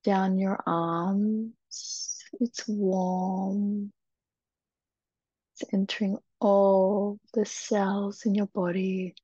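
A woman leading a guided meditation in a soft, breathy, soothing voice, with short phrases and long pauses. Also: medium pitch, little pitch movement, no echo. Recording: dull, muffled, dry recording.

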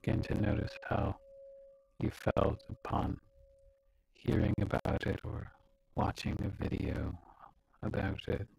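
A man leading a guided meditation as a teacher, speaking calmly in short, measured phrases with long pauses. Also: rumbling low, creaky, slight background noise, rough recording.

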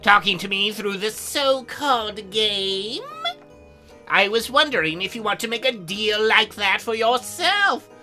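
A man voicing a lonely, prim young female demon imp in a visual novel game, earnest and halting. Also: high-pitched, lively intonation, music in the background. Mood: coaxing.

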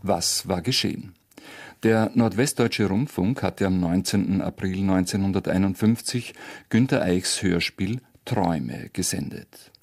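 Deep, gravelly male radio presenter. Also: unhurried. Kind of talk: scripted narration.

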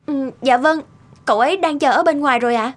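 A woman voice-acting polite, gentle young women in an animated moral tale. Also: high voice, fast, lightly acted. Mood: deferential. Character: polite young women: gentle daughter-in-law, dutiful care worker.

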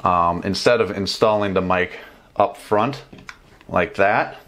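A man's voice: deep voice, takes it slowly, a bit bright. A man in his thirties casually demonstrating a gear install at his desk at home, chatty with fillers and restarts. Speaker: motorcycle travel vlogger. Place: small home room with bookshelves and desk.

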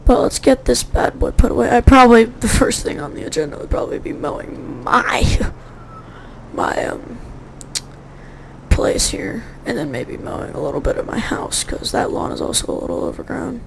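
A woman's voice: fairly high pitch, very animated, normal speed, gravelly, slight background noise, rough recording. A woman giving casual, rambling commentary over gameplay, her voice a little sore, often correcting herself as she goes. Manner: rambling, self-correcting, chatty. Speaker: gaming YouTuber.

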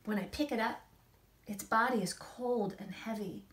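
A woman in her forties, an author reading and explaining things to children in an expressive, emphatic storytelling manner. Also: fairly high pitch, normal speed, rough recording. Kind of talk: expressive picture-book read-aloud and explanation to children. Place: small indoor room against a plain wall.

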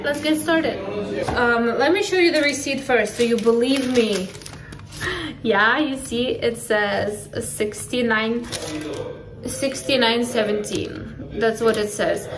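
A woman in her thirties chatting casually through a grocery haul vlog, relaxed with laughing asides, filmed on a phone in her home kitchen. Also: on the high side, recorded from a distance, background noise. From California. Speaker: family vlogger. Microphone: phone camera at arm's length. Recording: echoey kitchen, voice a bit off-mic.

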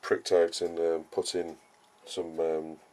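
A man giving a casual, explanatory gardening talk in a halting, unscripted way, as a vlogger would. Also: low-pitched, completely flat delivery, darkish tone. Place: outdoor vegetable garden and greenhouse. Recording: clearly noisy outdoor ambience, uneven distance.

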